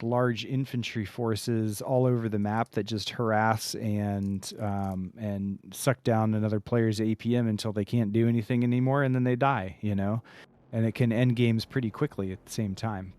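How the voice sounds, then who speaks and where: A man casting a live strategy game tournament, talking through tactics in a relaxed, thinking-aloud way. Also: mid-range voice. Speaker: esports caster.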